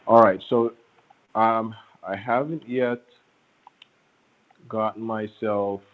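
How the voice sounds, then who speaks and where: A low-pitched man works through a persuasive phone pitch in a formally polite way. He hesitates and repeats phrases as he explains. His voice comes over a dull, band-limited telephone line. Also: steady tone. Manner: halting, repetitive, formally polite. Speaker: phone caller posing as a prize agent. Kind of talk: persuasive phone sales talk.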